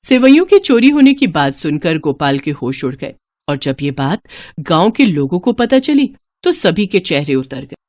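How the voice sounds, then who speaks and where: A woman's voice: fairly low pitch, big pitch swings, moderate pace, a little mellow. A woman narrating a scripted moral story as a storyteller.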